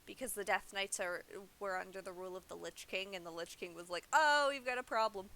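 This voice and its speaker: A woman's voice: mid-range voice, a little fast, bright, forceful tone, low-quality recording. A woman game streamer giving casual, rambling live commentary and explaining the story as she plays, often breaking off to restart her thought. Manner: informal, rambling, self-interrupting.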